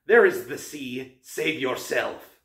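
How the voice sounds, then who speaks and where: A cold, menacing monster hiding behind a stern teacher's manners, as a man acts him out. He barks short, formal commands in a middle-pitched voice. The sound is roomy and a little distant from the mic. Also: animated, slow pace, a bit bright. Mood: gloating.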